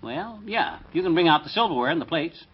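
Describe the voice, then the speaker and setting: A man playing an excitable, boastful husband in old radio comedy, folksy and self-important, with a husky voice. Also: on the high side, a little fast. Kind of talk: 1950s radio comedy acting. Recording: dull, lo-fi old radio recording.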